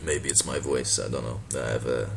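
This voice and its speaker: A man from Malta, a native Maltese speaker, with a deep voice, talking casually and sardonically in a response video, laughing and swearing as he goes. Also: steady tone, moderate pace, vocal fry, a little hiss or hum. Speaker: boxing channel vlogger.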